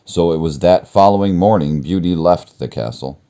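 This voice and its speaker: A man reading a children's story aloud as narrator, in a measured, expressive storytelling manner. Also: sits low, clear, resonant tone. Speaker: storybook reader.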